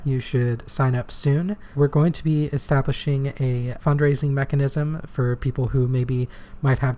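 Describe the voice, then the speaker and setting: A man co-hosting a political podcast, talking earnestly and plainly, his voice slightly hoarse from shouting. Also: medium pitch. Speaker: podcast co-host. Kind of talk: casual political podcast talk.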